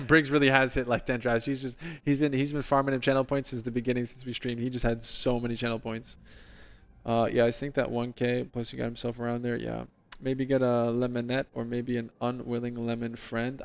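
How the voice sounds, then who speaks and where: A man's voice: mid-range voice, creaky. Guy streaming, chats w viewers, rambles a lot, kinda dull sound. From New Jersey, US. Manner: rambling, chatty, talks to chat by name. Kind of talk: casual stream chat in a lemon persona.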